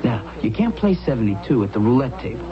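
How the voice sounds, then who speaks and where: An actor playing an obsessive, superstitious gambler husband in a 1970s radio drama, insistent and agitated, repeating himself. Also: male voice, mid-range voice, very animated, quick pace, a crowd in the background, natural voice. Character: obsessive, excitable gambler husband, superstitious about winning numbers. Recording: muffled, noisy old radio broadcast.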